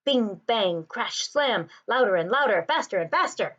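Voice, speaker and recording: A woman reading a children's picture book aloud, with expressive and deliberate phrasing. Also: fairly high pitch, dull audio. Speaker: storybook reader.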